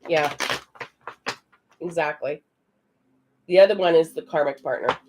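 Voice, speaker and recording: This is a woman giving a live psychic card reading on a stream. Her voice is raspy, and she speaks in a loose, chatty way, often stopping to answer viewers in the chat. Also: deep voice, measured pace, darkish tone, a little hiss or hum, poor audio. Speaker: psychic reader.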